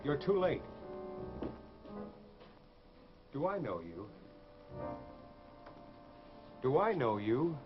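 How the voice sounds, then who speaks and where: Man playing a suave, animated radio villain. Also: mid-range voice, normal speed, music in the background, lightly acted. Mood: brusque. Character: suave, vain, menacing fugitive killer posing as traveler. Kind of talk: 1940s radio drama acting. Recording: muffled, noisy old radio recording.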